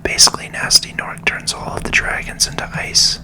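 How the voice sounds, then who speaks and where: A person whispering calm ASMR commentary over a video game, close to the microphone. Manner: slow, calming.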